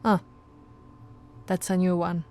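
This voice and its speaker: Woman acting a slow-talking, flirty, soft policewoman. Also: on the low side, level tone. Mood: calm, questioning. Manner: soft, coaxing, conversational. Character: flirty, patient, teasing policewoman reassuring a suspect. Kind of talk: intimate ASMR roleplay acting.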